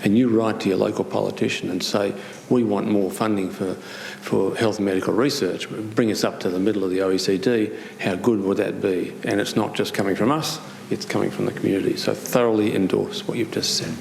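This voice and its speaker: Gravelly man arguing a point. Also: lowish voice, steady tone, fairly quick, few pauses, darkish tone. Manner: emphatic, persuasive. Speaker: panellist. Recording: echoey hall, faint noise.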